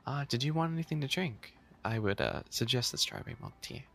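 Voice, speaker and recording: A man playing a flustered, bookish animal-boy maid cafe manager in boyfriend ASMR roleplay, speaking softly and hesitantly with a teasing sweetness. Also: mid-range voice, lively intonation, brisk, vocal fry. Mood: weary, sheepish.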